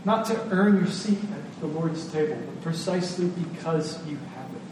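A man preaching a sermon as a pastor, speaking deliberately with emphatic repetition and pauses. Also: on the high side. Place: large reverberant room, live church service. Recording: echoey hall, distant mic, noisy.

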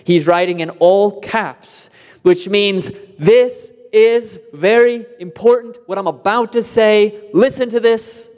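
Earnest preacher in a reverberant hall. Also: male voice, high-pitched, slow pace, a little mellow. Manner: earnest, explanatory, rising emphasis on key points. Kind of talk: expository sermon.

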